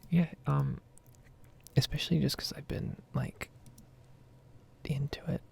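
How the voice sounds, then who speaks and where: Female ASMR gamer, breathy whisper with very heavy fry, casual and rambling, close-miked. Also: deep voice, fairly even intonation, measured pace, a little mellow. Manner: casual, rambling, mild swearing. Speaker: ASMR gaming streamer. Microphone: close microphone.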